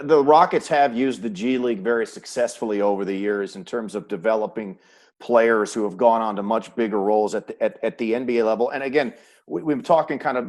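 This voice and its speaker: A man hosting a conversational sports interview, asking wordy questions with halting, repeated starts. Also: mid-range voice, a little mellow. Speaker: host, sports play-by-play announcer.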